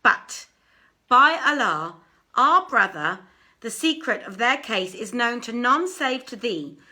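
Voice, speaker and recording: A woman's voice: a little high, animated, slow, sharp tone, pitched above the performer's own voice, full character voice. A woman voicing a commanding band of robber brothers in a storybook, with solemn, archaic phrasing.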